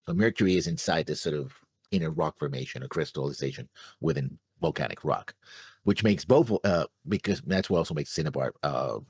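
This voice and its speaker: A man explaining things in casual discussion, in a halting, measured way. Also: a bass voice, husky, dry recording, studio quality. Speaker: co-host of an anime reaction show.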